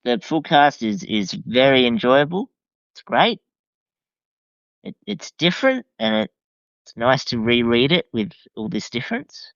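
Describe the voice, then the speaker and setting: A man's voice: medium pitch, sing-song, unhurried, vocal fry, long pauses, fairly bright tone, dry recording, highs cut off. A man reviewing as a podcast guest in a casual, hesitant way, often restarting his phrases.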